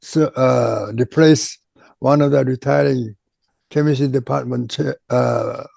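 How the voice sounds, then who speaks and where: An older man, a native Korean speaker with a Korean accent, recalling his career as a retired chemistry professor in an oral history interview, speaking haltingly with many pauses and repeated words. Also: medium pitch, steady tone, vocal fry, a little mellow, dry recording. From Japan.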